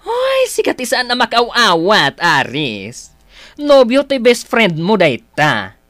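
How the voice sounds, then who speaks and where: Chiding young woman friend, Filipino accent, teasing, exclamatory, radio drama comedy, very animated pitch, unhurried. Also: no echo, studio quality, faint music behind, exaggerated voice. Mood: teasing, scolding.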